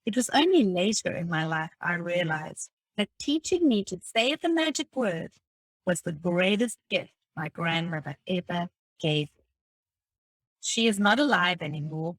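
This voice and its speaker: A woman reading a motivational self-help text aloud in a deliberate, instructive way. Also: medium pitch, fairly bright tone, no room sound, rough recording. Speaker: reader of a self-help book. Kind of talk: scripted read-aloud of a motivational audiobook text.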